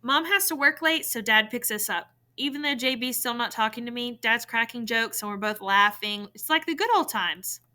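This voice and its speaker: A woman reading a novel in verse aloud, in an expressive storytelling style. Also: on the high side, moderate pace, few pauses.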